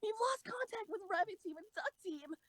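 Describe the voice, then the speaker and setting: Casting: woman as careful, earnest schoolgirl tank crew member, expressive range, dry recording. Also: very high voice, moderate pace, no echo. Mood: urgent. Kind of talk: acted dialogue in a comic audio drama.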